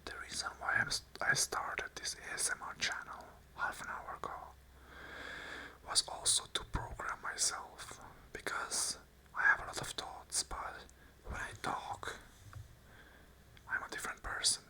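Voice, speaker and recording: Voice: unhurried, rough recording. An ASMR host whispering an unscripted monologue of earnest, repeated affirmations in short phrases. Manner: whispers the whole way, soft and unvoiced.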